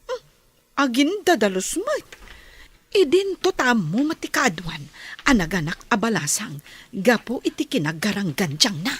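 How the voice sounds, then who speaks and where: A woman's voice: on the high side, very animated, a little slow, pauses often, fairly bright tone, husky, full character voice. A woman acting a spiteful, gossiping married village woman in an Ilocano radio drama, with a snide, exaggerated delivery. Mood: irritated, sneering.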